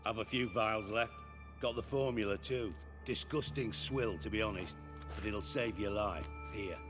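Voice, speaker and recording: An actor playing a gruff, cynical middle-aged crime boss and former spymaster in a video game, sardonic and blunt. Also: male voice, on the low side, normal speed, natural voice. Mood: dry, resigned. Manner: sardonic, blunt, foul-mouthed. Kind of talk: dramatic video game dialogue. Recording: background music behind the voice.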